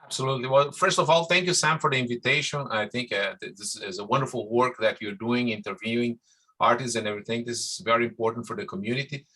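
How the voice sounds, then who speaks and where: A middle-aged Brazilian man with a Brazilian accent, a musician guest speaking Portuguese-native English in a rambling, warm way with many restarts. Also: medium pitch, steady tone, quick pace. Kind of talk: casual interview.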